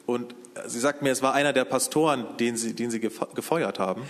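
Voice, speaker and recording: A man from Berlin interpreting a sermon phrase by phrase in a steady manner. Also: medium pitch, brisk. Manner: steady, follows the speaker phrase by phrase. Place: church hall. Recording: long hall reverb.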